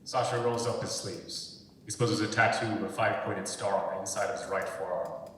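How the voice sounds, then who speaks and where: Low-voiced male narrator in an echoey hall. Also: steady tone, brisk, a bit bright. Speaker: narrator reading stage directions. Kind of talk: scripted narration of a screenplay reading. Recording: echoey hall, far from mic, rumbly noise.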